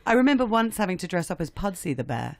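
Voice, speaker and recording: A woman's voice: fairly low pitch, big pitch swings, quick pace, no room sound. A woman hosting a casual radio interview, asking questions in an informal, conversational way.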